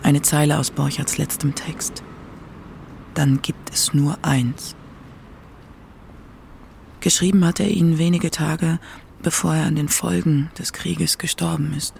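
A woman's voice: low-pitched, fairly even intonation, slow pace, vocal fry, long pauses, a little mellow, slight background noise. A woman reading scripted narration for a radio documentary feature.

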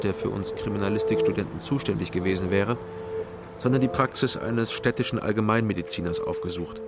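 A man's voice: on the low side, lightly acted. An actor playing a detective in his thirties, narrating a radio crime drama in a dry, reflective way. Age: 30s. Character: thirty-year-old sober, lonely private detective narrating. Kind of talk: scripted first-person radio drama narration. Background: music.

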